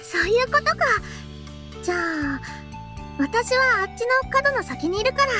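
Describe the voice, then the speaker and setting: A woman's voice: very high voice, sing-song, fast, bright, forceful tone, full character voice. A woman voicing a friendly, easygoing girl in a Japanese visual novel. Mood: friendly, casual. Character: friendly, easygoing anime girl guiding a newcomer. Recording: game audio with music over it.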